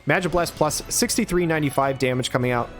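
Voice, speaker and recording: Hoarse man casting a game, slightly high voice. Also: faint music behind. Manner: excited, quick play-by-play with exclamations. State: hoarse, recovering from a cold. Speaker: game caster. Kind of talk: play-by-play commentary. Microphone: headset.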